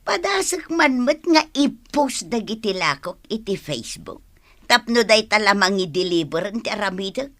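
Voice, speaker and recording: A woman's voice: medium pitch, very animated, normal speed, fairly bright tone. A woman acting a bossy, practical wife with a small food business in an Ilocano radio comedy drama. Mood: brisk, insistent.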